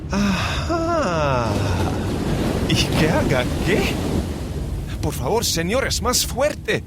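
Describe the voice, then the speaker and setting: An actor playing a sailor losing his mind, talking to unseen ghosts with giggling courtly manners, under loud storm noise. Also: high voice, wildly swooping pitch, takes it slowly, heavily acted. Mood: hushed listening. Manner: giggling, courtly, then frantic chanting. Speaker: sailor aboard the ship. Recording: loud storm and waves behind.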